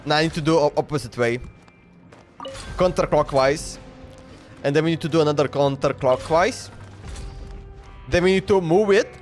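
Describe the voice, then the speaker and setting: Guy w a high voice streaming a game, muttering thru puzzles out loud, repeats himself, bit of game music. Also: moderate pace, pauses often. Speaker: livestreamer playing a video game. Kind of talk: casual gaming commentary, thinking aloud.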